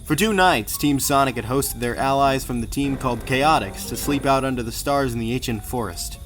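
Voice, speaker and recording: A man's voice: medium pitch, normal speed, fairly bright tone, soft background music. A man narrating an audiobook in a scripted, dramatic storytelling style.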